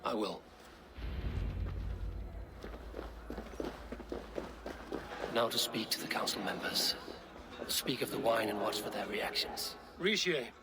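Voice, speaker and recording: A man playing a calm, decisive Viking warrior in a video game, speaking in a measured, purposeful way. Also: lowish voice, heavy background noise, natural voice. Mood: guarded, courteous. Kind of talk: video game character acting.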